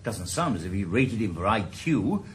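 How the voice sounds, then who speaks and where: Ex-detective, dry, sardonic, lively pitch, 1980s radio drama. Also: male voice, moderate pace, a little hiss or hum. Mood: theorizing. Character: retired ex-detective, wry middle-aged Welshman. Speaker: private investigator.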